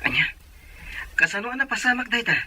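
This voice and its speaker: A man's voice: very high voice, big pitch swings, quick pace, background noise. A man playing a devoted young boyfriend and son who works away, in a radio drama. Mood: affectionate. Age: young adult.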